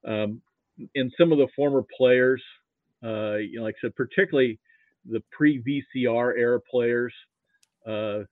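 A man chatting as a podcast guest in a modest, halting way, often repeating his words, on slightly dull audio. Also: medium pitch, steady tone, no room sound. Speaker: podcast guest, amateur sports archivist. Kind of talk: casual interview chat.

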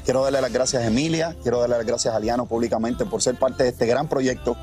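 A man's voice: mid-range voice, fairly even intonation, fast talker, darkish tone. A man, a music artist, giving spontaneous interview remarks.

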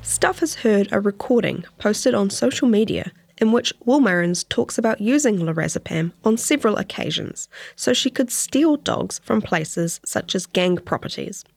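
New Zealand woman journalist, slightly creaky voice. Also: mid-range voice, animated, normal speed, few pauses, a little mellow, no echo. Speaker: journalist and podcast narrator. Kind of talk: interview chat and scripted feature narration.

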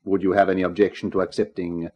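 A man's voice: low-pitched, little pitch movement, fast. An actor playing a formal, calculating foreign man in a 1950s radio drama. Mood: polite. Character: smooth, formal foreign schemer, middle-aged man. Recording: dull 1950s radio recording.

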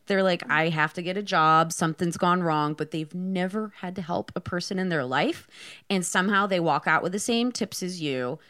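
A woman's voice: fairly low pitch, fairly bright tone. A woman co-hosting a casual podcast, blunt and sweary, telling anecdotes and acting out remembered dialogue. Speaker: podcast co-host.

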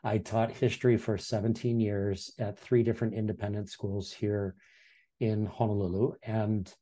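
A man in his sixties from Hawaii, telling his life story in a relaxed, reflective way as a podcast guest, with frequent ums and restarts. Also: on the low side, normal speed. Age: 60s. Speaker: podcast guest, former history teacher. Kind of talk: casual interview storytelling.

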